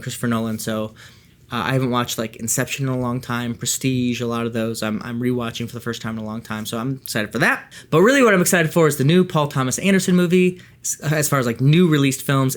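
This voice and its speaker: A man's voice: medium pitch, fairly bright tone. An American man talking casually and enthusiastically to viewers as a vlogger, often correcting himself in an informal way. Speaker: book and film vlogger.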